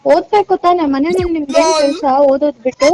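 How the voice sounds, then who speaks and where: A woman's voice: high voice, quick pace, a loud crowd. A woman who takes a job call, questioning the caller insistently over the phone. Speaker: job recruiter taking a call. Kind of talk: phone conversation. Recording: dull phone line, faint noise.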